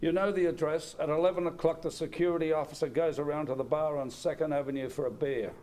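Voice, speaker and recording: A man playing a cold, businesslike criminal giving measured, commanding instructions for a murder in a suspense radio play. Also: on the high side, steady tone, dark tone, slight background noise, lightly acted. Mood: cold, instructing.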